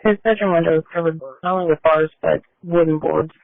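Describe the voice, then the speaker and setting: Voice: mid-range voice, fairly even intonation, a little fast, gravelly, dry recording, narrow, tinny audio. A police officer on scene giving terse tactical updates over a scanner radio in a businesslike manner with clipped call signs. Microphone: two-way radio.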